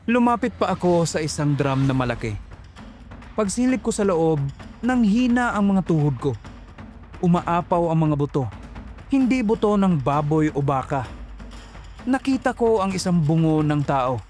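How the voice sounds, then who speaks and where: A man's voice: on the high side, animated, normal speed, pauses often, darkish tone, faint music behind. A man narrating a first-person horror story in a dramatic, suspenseful manner.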